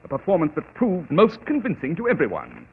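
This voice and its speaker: An actor playing a cool, arrogant master detective in an old radio drama, measured, precise and dryly cutting. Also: male voice, fairly high pitch, huge pitch swings, a little hiss or hum. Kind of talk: 1940s radio acting. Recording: tinny old radio broadcast.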